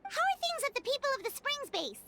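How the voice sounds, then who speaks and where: A woman voicing a small, childlike fairy companion with a squeaky, chirpy voice in a video game. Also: very animated, fairly quick, background noise, exaggerated voice. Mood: curious.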